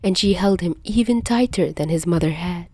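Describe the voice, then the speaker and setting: Mellow woman narrating a story, very dry sound. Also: fairly low pitch, normal speed, husky, no room sound. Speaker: narrator, fan fiction reader. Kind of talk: expressive audiobook-style narration.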